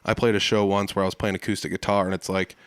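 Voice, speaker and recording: A man's voice: deep voice, flat delivery, fast, fairly bright tone, smooth, dry recording. A man hosting a podcast, chatting in an easygoing, self-deprecating way. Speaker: podcast host. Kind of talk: casual chat.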